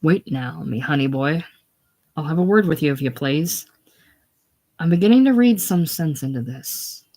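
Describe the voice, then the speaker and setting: A reader voicing a gruff old farmer who mocks and jeers loudly. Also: mid-range voice, expressive, moderate pace. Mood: grim. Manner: loud, teasing, contemptuous. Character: gruff old Irish farmer, mocking and jeering.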